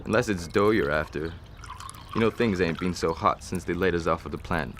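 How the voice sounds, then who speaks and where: A deep, bright-toned man in trouble, as an actor plays him, blustering and dodging questions. His voice sits low but carries a forceful edge, with a faint hiss behind it. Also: a little fast. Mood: jovial. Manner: blustering, then pleading. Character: laid-off man in debt, evasive, desperate. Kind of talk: amateur film voice acting.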